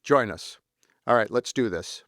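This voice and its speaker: A man's voice: mid-range voice, expressive, measured pace, fairly bright tone, no echo. Male podcast host, very dry close sound. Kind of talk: casual interview chat with some scripted appeals.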